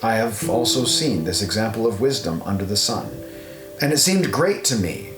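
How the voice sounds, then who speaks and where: A man narrating an audio Bible, reading scripted scripture in a measured, solemn recitation. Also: fairly low pitch, lively intonation. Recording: roomy echo, guitar music underneath.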